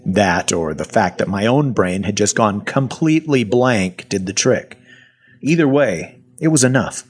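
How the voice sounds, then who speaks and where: Man narrating a story with animated, swooping pitch. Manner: conversational, wry, with dramatic pauses. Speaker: narrator of an audio fiction story. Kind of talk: first-person dramatic story narration.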